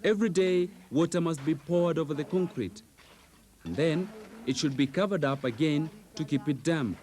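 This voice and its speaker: A man reading scripted instructional narration in a measured, precise, didactic manner, on an old VHS training film soundtrack. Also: fairly high pitch, darkish tone.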